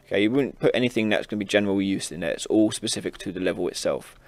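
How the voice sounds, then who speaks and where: A man hosting an informal software tutorial, casually explaining each step as he demonstrates it on a close mic. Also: lowish voice, racing, no room sound. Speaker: tutorial host. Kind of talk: informal screen-recorded software tutorial narration.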